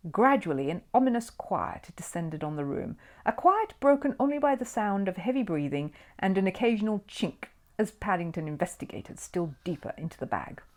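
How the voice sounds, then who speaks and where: Woman reading a storybook with lively intonation. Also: medium pitch. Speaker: audiobook reader. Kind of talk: scripted narration of a children's storybook.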